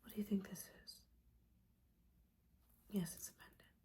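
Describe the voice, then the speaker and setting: A woman playing a gently judging, caring witch mentor in an ASMR roleplay, whispering soothingly and conversationally. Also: a little slow, lightly acted. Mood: gently coaxing. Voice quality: breathy whisper.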